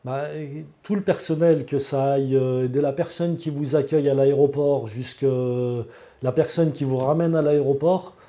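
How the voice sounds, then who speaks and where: A man's voice: mid-range voice, steady tone, moderate pace, mellow. A man, giving an unscripted testimonial as a patient in an earnest, emphatic way. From Lyon, France. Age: 40s.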